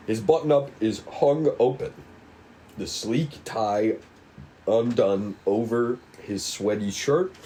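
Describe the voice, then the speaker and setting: A man reading a script's stage directions at a table read, casual and halting, with asides. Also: on the low side, a little mellow, slight background noise, low-quality recording. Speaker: script reader.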